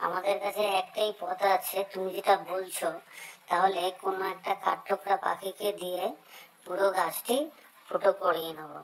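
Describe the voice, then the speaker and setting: A woman voicing a concerned, caring mother bird in a cartoon story, speaking earnestly and deliberately. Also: on the low side, little pitch movement, natural voice, rough recording. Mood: agreeing, resolved.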